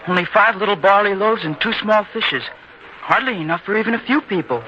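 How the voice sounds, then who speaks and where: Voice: mid-range voice, lively intonation, fairly quick, sharp tone. An actor playing an earnest, doubtful young boy who questions his mother in an old-time radio drama. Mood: shy, respectful. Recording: dull lo-fi radio sound, faint noise.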